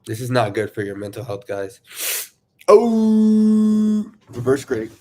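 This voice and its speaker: A man casually chatting to viewers on his livestream, rambling and excitable, sniffling from allergies. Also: mid-range voice, slow, a little hiss or hum. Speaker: YouTuber livestreaming.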